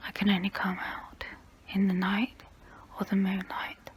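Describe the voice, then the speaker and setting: A woman playing a guilty, melancholy centuries-old vampire in an ASMR role play, whispering in a slow, hesitant, wistful way with formal phrasing. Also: medium pitch, completely flat delivery, slight background noise, lightly acted. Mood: gentle, ominous. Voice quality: breathy whisper.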